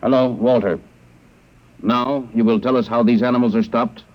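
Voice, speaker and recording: An actor playing a coldly logical alien interrogator in a radio drama, speaking in stilted, formal, emotionless phrases. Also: male voice, medium pitch, fairly even intonation, normal speed, natural voice. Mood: cold, commanding. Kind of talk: 1950s radio drama acting. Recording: muffled vintage radio recording.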